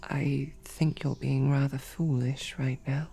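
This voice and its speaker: A woman playing a snarky, devoted female knight, stammering and flustered, often dropping to soft whispers. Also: a bass voice, little pitch movement, slow, creaky, breathy edge, soft background music. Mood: tender. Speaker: audio drama performer. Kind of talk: romantic audio roleplay.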